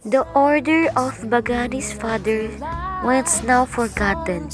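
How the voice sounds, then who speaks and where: A woman narrating a student radio drama in a plain, steady way. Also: fairly high pitch, unhurried. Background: background guitar music.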